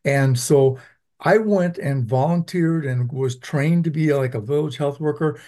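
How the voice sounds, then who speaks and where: A man from Venezuela who teaches public health, answering interview questions in a measured, explanatory way with frequent ums. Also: a little high, darkish tone. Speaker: public health professor, guest.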